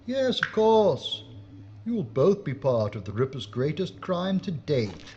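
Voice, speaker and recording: An actor playing a gloating, traitorous police inspector and killer in an audio drama, theatrical, smug and menacing. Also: male voice, a little high, very animated, measured pace, mellow, dull audio, a little hiss or hum. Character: gloating traitorous chief inspector, murderous Nazi saboteur.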